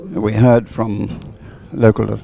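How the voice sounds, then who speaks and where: A man's voice: on the low side, little pitch movement, slow pace, vocal fry, warm tone, low-quality recording. A man giving unscripted live sports commentary in a hesitant, rambling way, as if thinking aloud.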